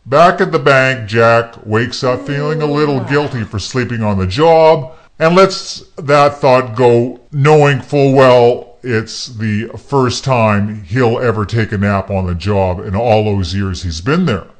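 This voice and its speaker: A man's voice: mid-range voice, slow, hardly any pauses. A man narrating a radio play in a scripted storytelling style.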